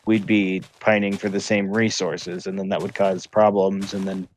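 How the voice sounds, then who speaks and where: A man talking casually with friends on a livestream, halting and full of fillers. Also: low-pitched, little pitch movement. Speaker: livestream gamer. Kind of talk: casual chat.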